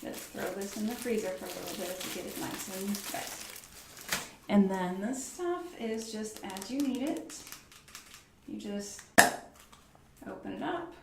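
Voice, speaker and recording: A soft-spoken woman doing an ASMR medical roleplay as a doctor, murmuring reassuring step-by-step instructions to a patient. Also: medium pitch, unhurried, gravelly, roomy sound, distant mic, heavy background noise. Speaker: ASMR performer playing an orthopedist. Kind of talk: ASMR medical roleplay, one-on-one personal attention. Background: clicks and handling sounds of props.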